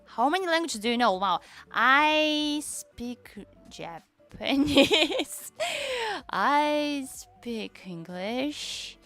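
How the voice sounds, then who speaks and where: A young woman whose first language is Tatar, chatting casually on a stream, halting and searching for words, with many fillers. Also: high voice, big pitch swings, bright, forceful tone, soft background music. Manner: switches between Japanese and English. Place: lives in Japan.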